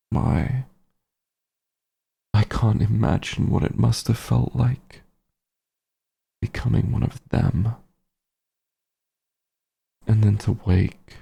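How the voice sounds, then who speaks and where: A man playing a remorseful werewolf hunter in an intimate fantasy audio roleplay, speaking gently and haltingly with a husky, emotional voice. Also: on the low side, exaggerated voice. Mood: shocked, overwhelmed, then remorseful, tender. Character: werewolf hunter, tender and remorseful, reunited with lost friend. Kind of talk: roleplay audio drama, intimate monologue to listener.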